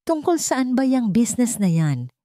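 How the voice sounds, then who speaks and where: A woman's voice: fairly high pitch, big pitch swings, moderate pace, dark tone, dry recording, clean studio sound, pitched above the performer's own voice. A woman voicing a proud young bride-to-be who questions her fiancé with suspicion. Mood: suspicious.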